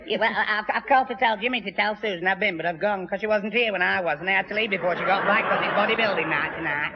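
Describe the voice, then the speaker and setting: A man's voice: sits high, rapid-fire, slightly rough. An actor playing a gormless, touchy young man, flustered and muddled, in a 1960s radio sitcom. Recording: muffled, reverberant 1960s radio recording.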